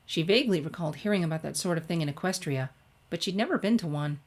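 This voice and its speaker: A woman's voice: on the low side, animated, fast. A woman reading a story aloud in a scripted narration style. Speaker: fan fiction reader.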